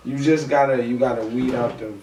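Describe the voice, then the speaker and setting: A man's voice: medium pitch, fairly even intonation, brisk, crowd noise. A man talking casually on a podcast, slangy, often checking that the listener follows him. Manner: slangy, repeats "you know what I mean". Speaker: podcast co-host and guest, music artist. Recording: roomy, distant mic, background noise.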